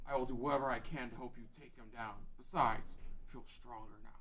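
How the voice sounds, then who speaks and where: A man acts out a male fantasy chieftain of a rock-dwelling people. He is proud and gruff, and he speaks in blunt, declamatory lines, as in a game story read aloud. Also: mid-range voice, fairly even intonation, a little fast, a bit bright, close to an everyday voice. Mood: grim. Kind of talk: amateur table read of a game fan script.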